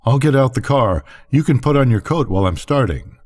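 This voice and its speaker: A man's voice: medium pitch, expressive, a little fast, a little mellow, voice pushed up, close to an everyday voice. An older man voicing a well-meaning, bewildered rancher husband in a story, blundering and folksy in his talk. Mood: genial.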